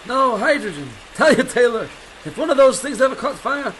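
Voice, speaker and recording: Man playing a very high-voiced, eager airfield hand. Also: moderate pace, slight background noise, full character voice, poor audio. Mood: chatty. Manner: polite, excitable. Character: eager, deferential young male airfield worker. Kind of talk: 1940s radio acting.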